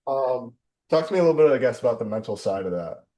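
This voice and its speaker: A man's voice: medium pitch, animated, quick pace, warm tone. A young man hosting an interview in a casual, slangy way, often saying "man" and "dude". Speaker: interview host. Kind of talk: casual chat.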